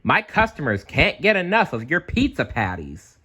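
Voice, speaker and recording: A scheming pizza boss from a storybook , read by a man, bright and lively in pitch. He is pushy and conspiratorial. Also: normal speed, breathy edge, exaggerated voice. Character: scheming, pushy male pizza parlor manager. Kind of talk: storybook read-aloud character.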